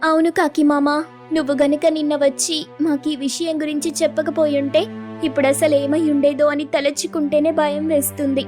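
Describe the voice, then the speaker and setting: A woman voicing a stubborn, confident mother sparrow in a cartoon story, speaking in a firm, expressive way. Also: high voice, moderate pace, music in the background, voice pushed up, natural voice. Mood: earnest.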